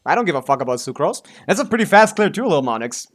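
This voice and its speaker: A man's voice: fairly high pitch, sing-song, fast. A man giving casual live gameplay commentary as a streamer, offhand and sweary, full of gamer slang. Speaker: game streamer.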